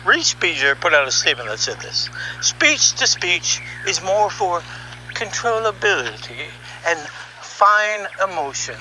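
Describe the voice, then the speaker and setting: A man giving an opinionated, conversational monologue as a podcast host, sardonic and animated, throwing out rhetorical questions. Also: high-pitched, a little slow, a little hiss or hum, low-quality recording. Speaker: podcast host and audio artist. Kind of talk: opinionated monologue, reading article excerpts aloud.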